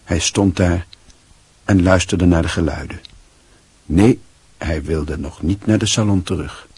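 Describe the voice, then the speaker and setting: An actor playing a dry, wry literary narrator in a radio play, with a husky voice. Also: male voice, low-pitched, a little slow, a little mellow, no room sound, heavily acted. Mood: wry, reflective.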